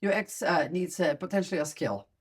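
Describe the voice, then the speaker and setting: Chatty woman with a low voice. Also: fairly quick, slightly rough. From Sweden. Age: middle-aged. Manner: chatty, candid and animated. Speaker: podcast host. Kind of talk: casual chat.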